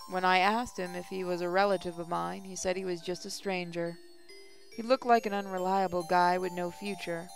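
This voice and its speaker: A woman's voice: medium pitch, creaky. A woman hosting a gaming channel, reading visual novel text aloud in a casual way. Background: music.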